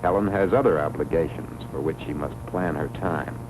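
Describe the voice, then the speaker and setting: A man reads scripted 1950s educational film narration in a measured, formal way, on a vintage film soundtrack. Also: extremely low pitch, noisy background. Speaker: documentary narrator.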